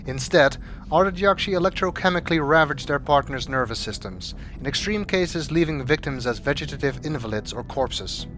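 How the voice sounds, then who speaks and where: A man reads scripted reference entries aloud as a narrator, in a measured, expository manner. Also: medium pitch. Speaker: video maker narrating game codex entries. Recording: music behind the voice. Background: clear background music.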